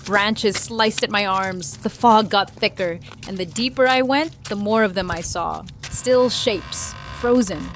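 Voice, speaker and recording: A woman playing a haunted wildlife photographer, narrating a first-person ghost story with suspenseful, deliberate pauses. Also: mid-range voice, lightly acted. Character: haunted young woman wildlife photographer recounting a terrifying encounter.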